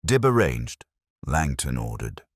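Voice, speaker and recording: A man acts out a dry, philosophical police inspector from a detective story. The voice is very deep and husky, with a lively rise and fall. He speaks in measured, gently ironic sayings. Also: dry recording, full character voice. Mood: measured, explanatory.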